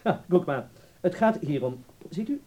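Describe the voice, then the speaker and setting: An actor in a Dutch radio drama playing a blunt, self-assured ballet teacher giving clipped, emphatic instructions. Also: male voice, on the high side, animated, normal speed, dark tone. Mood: blunt, instructing.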